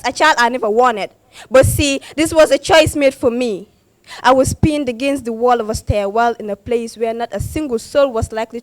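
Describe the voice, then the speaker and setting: A woman's voice: high voice, a bit bright, no echo. A young woman performing spoken word poetry at a slam, impassioned, with a repeated refrain and rising emphasis. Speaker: slam poet.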